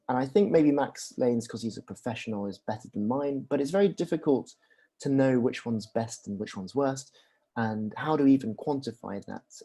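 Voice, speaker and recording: Brief: young man, lecturer, easygoing and unscripted, mellow, warm tone, lots of "um". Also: mid-range voice. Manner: relaxed, many ums and restarts, asks rhetorical questions. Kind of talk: conversational university lecture.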